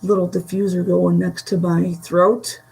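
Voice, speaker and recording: A woman from the Buffalo area with a Western New York Great Lakes accent, chatting slowly with many pauses on a live stream from home. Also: on the low side, level tone, darkish tone, poor audio. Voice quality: gravelly. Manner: slow, halting, many fillers. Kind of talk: casual live stream chat. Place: home room.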